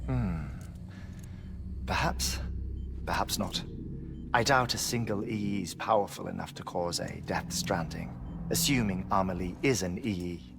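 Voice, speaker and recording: An actor playing an earnest scientist in a game cutscene, speaking in a measured, scholarly way. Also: male voice, sits low, vocal fry, background noise. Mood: cautious. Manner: measured, scholarly, precise, lecturing. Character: brainy, earnest male scientist lecturing on theory. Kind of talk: video game cutscene acting. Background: game score.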